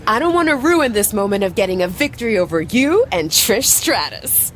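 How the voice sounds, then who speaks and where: A woman's voice: medium pitch, sing-song, moderate pace, a little hiss or hum, natural voice. A woman playing a brash, gloating rival wrestler in video game dialogue, cocky and smug.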